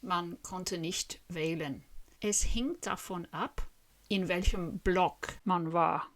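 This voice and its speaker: A woman in her fifties from Western Australia, a native English speaker with an Australian accent, talking to camera in the language she is learning, carefully and earnestly, and asking her viewers questions. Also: on the low side.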